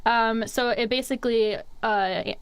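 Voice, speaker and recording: Young female quiz host, bright higher voice, giggly and chatty with lots of ums. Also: fairly even intonation, unhurried, smooth, dry recording. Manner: upbeat, giggly, frequent ums. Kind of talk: casual chat.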